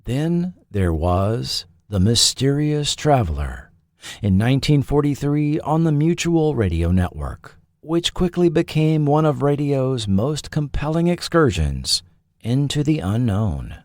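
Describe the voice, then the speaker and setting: Male host-narrator for scripted documentary: dramatic, unhurried delivery, lively intonation, dry close sound. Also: medium pitch, no room sound. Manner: dramatic, deliberate storytelling.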